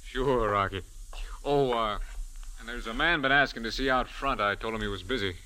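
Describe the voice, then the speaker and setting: An actor playing a mild, deferential, slow-witted bartender, plain and literal-minded, in a 1940s radio drama. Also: male voice, medium pitch, sharp tone, close to an everyday voice, low-quality recording. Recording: faint noise on old radio recording.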